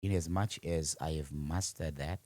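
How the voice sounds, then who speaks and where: A man's voice: very deep voice, normal speed, dark tone, dry recording. A man with a Zimbabwean accent speaking as a podcast guest, answering interview questions conversationally about his own work. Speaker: podcast guest, audiovisual and livestreaming business founder.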